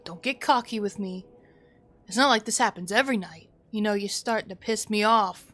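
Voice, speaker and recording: A woman's voice: medium pitch, moderate pace, a bit bright, natural voice. A woman voicing a dismissive grown-up in a visual novel, condescending and falsely cheerful to a child. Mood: irritated.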